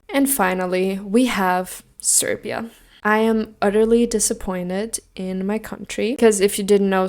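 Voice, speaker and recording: Emphatic young woman, informal and opinionated. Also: mid-range voice. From Austria. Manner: emphatic, informal, jokey, swears freely. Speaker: video host giving song contest predictions. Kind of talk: casual opinionated commentary to camera.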